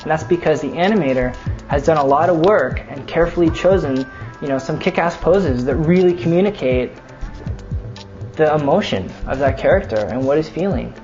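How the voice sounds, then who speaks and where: A man's voice: on the high side, normal speed, music in the background. Casual male explainer, slightly dull recording. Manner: casual, conversational. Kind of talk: informal explanatory talk.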